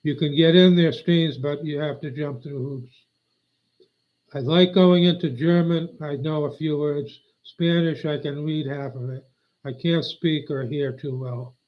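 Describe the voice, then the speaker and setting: A man's voice: on the high side, steady tone, a little slow. An older man rambling on a live stream, meandering and aggrieved, often losing his train of thought. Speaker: livestreamer. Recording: dull lo-fi audio.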